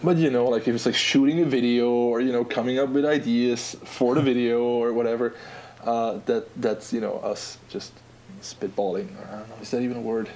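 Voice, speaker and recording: Austrian-accented man, 20s, podcast guest, easygoing jokey rambler, mid-pitched. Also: fairly even intonation, brisk, darkish tone, rough recording. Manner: laid-back, joking, rambling with fillers. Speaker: podcast guest, app designer. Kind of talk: casual podcast chat.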